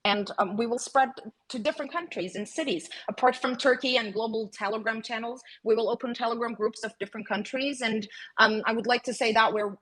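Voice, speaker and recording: A woman's voice: on the high side, fairly quick, fairly bright tone, rough recording. A woman originally from Greece, a guest marketing officer, explaining a finance topic in a hesitant, filler-heavy way on a podcast.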